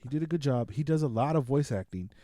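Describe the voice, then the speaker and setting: A man bantering casually about films as a podcast host, blunt, excitable and foul-mouthed, repeating himself for emphasis. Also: medium pitch, quick pace, no room sound, studio quality. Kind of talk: casual film review banter.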